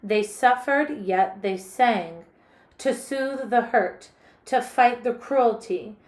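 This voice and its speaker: High-voiced woman teaching a song. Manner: slow, gentle call-and-response singing with a ukulele. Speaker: music teacher. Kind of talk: singing and teaching a song, reading aloud. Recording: roomy echo with loud ukulele.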